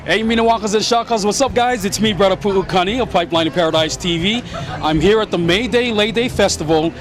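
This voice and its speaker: A man's voice: high-pitched, fast talker. A man, an upbeat and informal host doing a casual interview. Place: outdoors at a festival.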